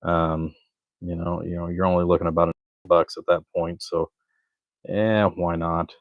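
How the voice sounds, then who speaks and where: A man with a very deep voice chats casually as he tinkers at his workbench. His delivery is folksy, rambling and laid-back. The sound is dry and close, with a slightly dull, lo-fi edge. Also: level tone, normal speed, no room sound. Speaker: livestream host, hobbyist amplifier builder. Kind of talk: casual livestream chat while working at a bench.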